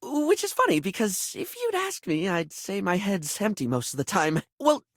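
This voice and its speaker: A man voicing a flamboyant, scatterbrained makeup character in a video game, effusive and camp, with halting, self-deprecating, apologetic delivery. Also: high-pitched, sing-song, dry recording, clean studio sound, heavily acted. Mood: chatty, playful. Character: flamboyant, scatterbrained, apologetic male makeup object, gushing and insecure.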